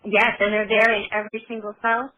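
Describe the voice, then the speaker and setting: A woman conducts an interview by phone, putting questions to her guest. Her voice sits at a middle pitch and is squeezed through a narrowband telephone line. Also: little pitch movement, fairly quick.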